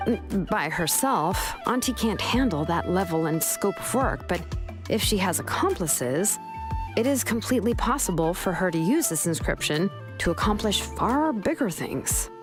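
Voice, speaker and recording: A woman playing a laid-back, pragmatic young craftswoman in a video game, explaining things matter-of-factly with unhurried, hesitant pauses. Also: mid-range voice, lively intonation, music in the background, close to an everyday voice. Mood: thoughtful.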